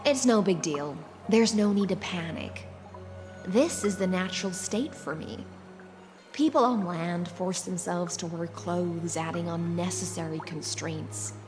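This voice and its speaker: A woman's voice: on the low side, a little slow, lightly acted. An actress playing an aloof, teasing, languid noblewoman of the sea in a game, with a cool, lofty manner. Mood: nonchalant. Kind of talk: game character voice acting. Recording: long reverb, music behind. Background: music.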